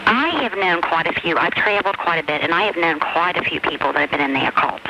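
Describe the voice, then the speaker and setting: A man's voice: on the high side, quick pace, vocal fry. A man speaking casually as a caller to a radio talk show. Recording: phone call on hissy shortwave tape.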